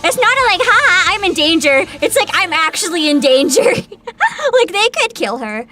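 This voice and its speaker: A woman streamer in casual livestream chat, excitable and giggly, rambling with frequent laughter. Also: very high voice, normal speed. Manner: reads a plot description aloud with asides. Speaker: VTuber streamer.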